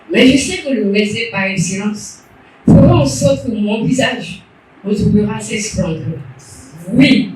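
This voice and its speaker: A woman's voice: medium pitch, slight background noise. Woman, 50s, fervent testimony, far off in an echoey meeting hall. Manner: fervent, emphatic, repeating declarations. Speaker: member giving a testimony. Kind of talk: emotional public testimony.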